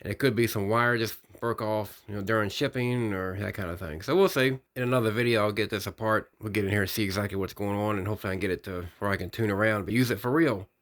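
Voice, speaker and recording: A man giving an unscripted hands-on product demonstration, rambling technically and thinking out loud as he hosts a hobby video. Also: lowish voice, steady tone, fast talker, hardly any pauses. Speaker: radio hobbyist video host.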